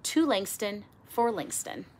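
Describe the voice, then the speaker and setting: A middle-aged woman reading a children's picture book aloud expressively, with theatrical emphasis and drawn-out words. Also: medium pitch, expressive, slight background noise. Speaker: storybook reader. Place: small indoor room with bookshelves.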